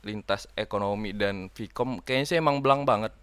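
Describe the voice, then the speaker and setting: A man's voice: fairly low pitch, normal speed, fairly bright tone, clear, resonant tone, no room sound. A young man from Kalimantan, Indonesia, chatting casually as a podcast guest, slangy and swearing, often breaking into laughter. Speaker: guest co-host.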